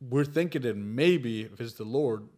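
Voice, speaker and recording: A man's voice: mid-range voice, normal speed, darkish tone. A man from Guatemala with a Guatemalan Spanish accent, a minister talking casually and hesitantly, with many fillers. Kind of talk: casual conversation.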